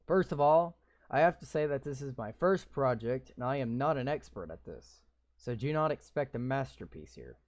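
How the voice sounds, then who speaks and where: A man's voice: mid-range voice, expressive, normal speed, a little mellow, dry recording. A man playing a visual novel, reading its text aloud and breaking in with sarcastic, swearing comments. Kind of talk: reading game text aloud with live reactions.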